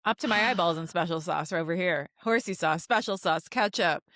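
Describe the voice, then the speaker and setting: A woman's voice: lowish voice, fairly quick, a bit bright, no room sound, studio quality. A woman co-hosting a podcast, joking and riffing in a casual, playful way. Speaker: podcast co-host. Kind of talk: casual comedy chat, riffing.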